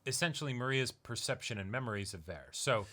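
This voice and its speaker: A man with a bright, punchy voice sitting in the middle of the male range. He co-hosts an anime reaction show in casual fan chat. His talk is slangy and full of playful exaggeration. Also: mid-range voice, expressive, fairly quick.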